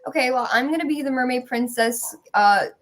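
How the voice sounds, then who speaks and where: A woman's voice: sits high, fairly quick. A young woman chatting in a playful, informal way as an interview guest. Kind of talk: casual chat.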